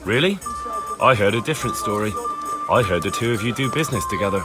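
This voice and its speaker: A man's voice: lowish voice, expressive, fast, over loud music, close to an everyday voice. An actor playing a determined young man in a video game, pressing people with matter-of-fact questions. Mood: insistent. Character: determined young man searching for a missing villager. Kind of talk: video game cutscene acting.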